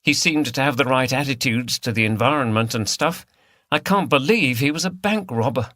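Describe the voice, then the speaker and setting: A man's voice: mid-range voice, normal speed, bright, forceful tone, no room sound, studio quality, voice pushed up, close to an everyday voice. A man voicing an earnest, outspoken woman activist in an audiobook, with a frank manner. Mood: musing. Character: earnest, feisty, outspoken female countryside activist. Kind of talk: audiobook character dialogue.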